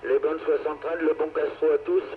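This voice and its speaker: A man's voice: a little high, little pitch movement, a little fast. Man on tinny two-way radio. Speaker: amateur radio operator. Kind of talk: casual radio contact chat. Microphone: two-way radio microphone. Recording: tinny narrowband radio, faint noise.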